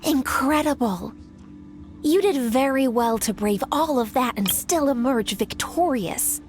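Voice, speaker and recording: A woman's voice: sits high, animated, unhurried, a little mellow, soft background music. A woman playing a composed, encouraging military officer in a video game, speaking formally and articulately. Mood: impressed. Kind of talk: video game dialogue acting.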